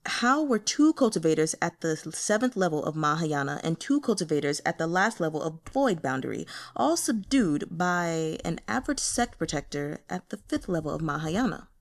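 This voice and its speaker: Calm tyrant of a demonic sect, read by a woman: unhurried, haughty, formal delivery for storybook fantasy. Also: low voice. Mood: coolly imperious. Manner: languid, unhurried, condescending, formal. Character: calm, imperious male demon sect lord. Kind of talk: audiobook character voice.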